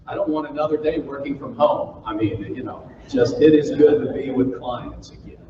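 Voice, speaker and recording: A man's voice: mid-range voice, steady tone, moderate pace. A man from Florida, giving a humorous keynote speech and telling stories to a live audience in a folksy, joking manner. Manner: folksy, jokey, playful with the audience. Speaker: keynote speaker. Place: large conference hall. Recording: far-off roomy hall, muffled with faint noise.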